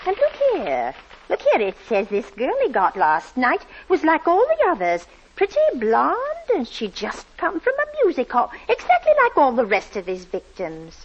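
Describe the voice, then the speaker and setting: A woman's voice: high voice, wildly swooping pitch, normal speed, exaggerated voice. A woman playing an anxious, fussy, deferential landlady in a 1940s radio drama, flustered and eager to please. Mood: alarmed, gossipy. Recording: muffled, noisy 1940s radio recording.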